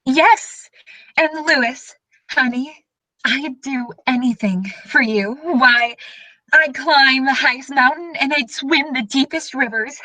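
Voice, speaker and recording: Very high, gushing adoring sweetheart, played by a woman. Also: slow pace, sharp tone, no echo, heavily acted, low-quality recording. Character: adoring, gushing sweetheart of a bumbling lion hunter. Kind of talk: comedy radio play acting.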